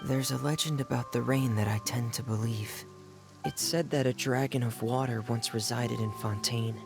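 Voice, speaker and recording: A man playing a shy, reserved, soft-hearted young diver in an anime video game, speaking hesitantly. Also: mid-range voice, fairly even intonation, moderate pace, creaky, lightly acted. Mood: wistful. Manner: hesitant, understated. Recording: music playing behind the voice.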